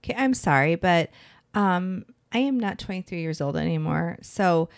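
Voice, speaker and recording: A woman's voice: on the low side, expressive, moderate pace, dry recording, studio quality. A middle-aged woman with a Chicago-area accent, co-hosting a music podcast and reading out band facts in a friendly, conversational way. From northern Illinois, US. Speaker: podcast co-host. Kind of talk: casual chat.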